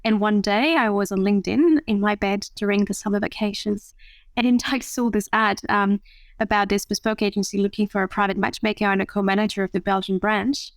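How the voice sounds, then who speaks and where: A woman's voice: medium pitch, fairly even intonation, brisk, no room sound. A woman in her thirties, a matchmaker guest in a podcast interview, who talks thoughtfully with frequent fillers and restarts. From France. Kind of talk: interview conversation.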